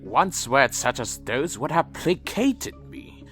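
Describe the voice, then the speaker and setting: A man's voice: mid-range voice, sing-song, slow pace, fairly bright tone, faint music behind. A man playing a proud, troubled vampire leader who narrates his own story in a fantasy audio drama, earnest and dramatic. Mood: resolute. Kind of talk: first-person scripted narration and audio drama acting.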